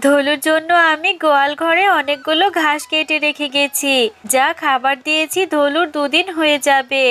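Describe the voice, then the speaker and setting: A woman voicing a caring, anxious mother fox in a children's animated fairy tale, pleading and gently admonishing. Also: high voice, moderate pace. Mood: caring, instructing.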